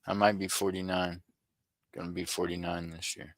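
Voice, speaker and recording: A man's voice: low voice, level tone, moderate pace, no room sound. A man in his forties chatting casually on a live stream, rambling and greeting viewers by name. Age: 40s. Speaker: coin roll hunter streaming live.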